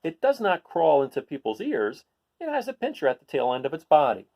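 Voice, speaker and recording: Male read-aloud host for a children's book, slightly high voice, very lively intonation, explains things with casual asides. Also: a little fast. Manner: explanatory, addressing young listeners.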